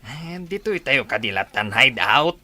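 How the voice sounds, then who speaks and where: A man's voice: medium pitch, expressive, measured pace, bright, forceful tone, no room sound. A man with an Ilocano accent playing a restless, vengeful robber boss in a radio drama.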